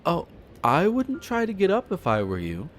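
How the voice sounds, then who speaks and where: A man's voice: sits high, wildly swooping pitch, moderate pace. A man playing a suave, flirtatious aristocratic vampire who talks to the listener with amused, haughty condescension in a roleplay audio drama. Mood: flirtatious, reassuring. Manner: theatrical, leisurely storytelling with teasing, haughty, formal wording.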